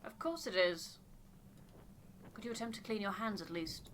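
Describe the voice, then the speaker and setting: A woman's voice: medium pitch, normal speed, fairly bright tone, a little hiss or hum, close to an everyday voice. A woman voicing a formal, self-important expedition researcher in a game, precise and fussy. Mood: fussy, earnest.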